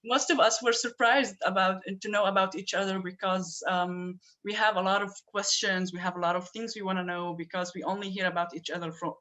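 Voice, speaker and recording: A woman's voice: mid-range voice, steady tone, a little fast, hardly any pauses, fairly bright tone, rough recording. A woman in her twenties from northern Palestine, talking on an online panel with many fillers. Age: 20s. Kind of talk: online event talk. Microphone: video call.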